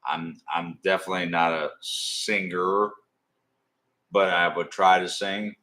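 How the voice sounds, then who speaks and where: A middle-aged man with a deep voice, rambling and swearing casually as he hosts a live stream. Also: flat delivery, pushed and bright. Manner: rambling, swearing, drawn-out storytelling. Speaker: livestream host.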